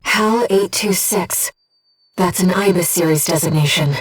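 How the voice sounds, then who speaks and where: A woman voicing a disembodied female guide who speaks in a pilot's head as mission operator in a video game, with a soft, breathy voice and a precise, earnest delivery. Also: fairly low pitch, measured pace, recorded from a distance, soft background music, poor audio. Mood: composed, informative. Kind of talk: scripted video game voice lines, mission briefings and battle callouts.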